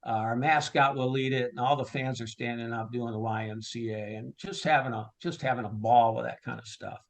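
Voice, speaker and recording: Male, mid-pitched, ballpark announcer type in an informal interview, conversational and unscripted. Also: steady tone, brisk, gravelly. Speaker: stadium public address announcer and marketer. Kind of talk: casual interview answers.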